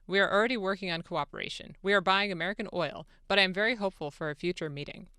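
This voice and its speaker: A woman's voice: fairly low pitch, very fast, pushed and bright, smooth, no echo, studio quality. A woman voicing an eager, deferential foreign president in a formal, polite dramatic reading. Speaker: radio show producer. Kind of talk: dramatic reading of a phone-call transcript. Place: radio studio. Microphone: close studio microphone.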